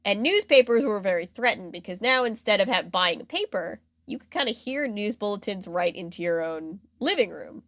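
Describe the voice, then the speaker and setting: A woman's voice: mid-range voice, moderate pace, few pauses, no echo, clean studio sound. An American woman hosting a podcast, chatting casually as she explains things and acts out mock quotes along the way. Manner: animated retelling with mock quotes and filler "like". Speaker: podcast host. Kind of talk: casual explanatory storytelling chat.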